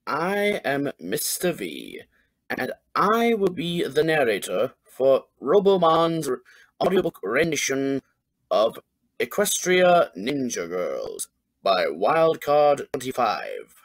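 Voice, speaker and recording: A man's voice: medium pitch, wildly swooping pitch, slow. A man narrating a scripted story as an audiobook. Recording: music behind the voice. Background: background music.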